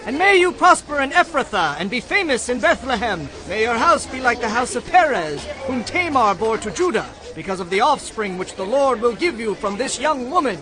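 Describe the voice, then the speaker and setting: An actor playing a town elder and witness, ceremonial and declamatory, in a dramatized scripture reading. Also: male voice, very high-pitched, sing-song, moderate pace, hardly any pauses, poor audio. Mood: jubilant, solemn.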